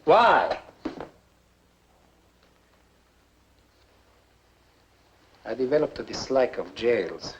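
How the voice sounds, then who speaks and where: A sardonic, gloating villain, muffled old film. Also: male voice, medium pitch, big pitch swings. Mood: bitter. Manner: sardonic, theatrical, drawn-out taunting. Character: bitter, gloating fugitive inventor, a mocking villain. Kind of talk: 1950s film acting. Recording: muffled, noisy 1950s film soundtrack.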